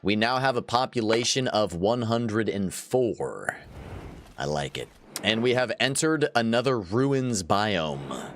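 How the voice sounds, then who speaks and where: A man's voice: on the low side, big pitch swings, slow pace, slight background noise. A man in his thirties chatting casually while livestreaming a game, laughing often and answering his viewers. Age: 30s. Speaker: gaming livestreamer. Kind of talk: casual live gameplay chat.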